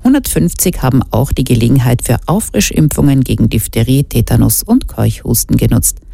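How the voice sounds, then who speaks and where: A woman's voice: rumbling low, animated, a little fast, creaky, dark tone, breathy edge, dry recording. A woman anchoring a radio news bulletin, reading scripted reports in a formal news style.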